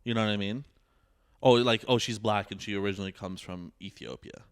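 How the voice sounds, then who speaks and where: Young male podcast co-host, low voice, rambling casual delivery, dry close mic. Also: fairly even intonation, a little fast, smooth, no echo. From Bosnia. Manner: rambling, many "like" and restarts. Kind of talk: casual chat.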